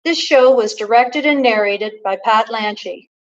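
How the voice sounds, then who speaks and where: A woman's voice: fairly high pitch, unhurried. A woman announcer reading scripted closing credits for a radio play, on a dull, muffled recording. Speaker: narrator and announcer.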